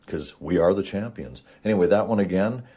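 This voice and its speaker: A man's voice: deep voice, brisk, heavy background noise. A man hosting an amateur audio show, talking to listeners in an informal, earnest, rambling explanatory monologue.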